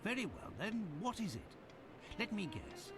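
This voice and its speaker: An actor playing a fussy, talkative doctor companion in a video game. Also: male voice, high-pitched, big pitch swings, unhurried. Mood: chatty. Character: chatty loyal male doctor companion. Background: game music.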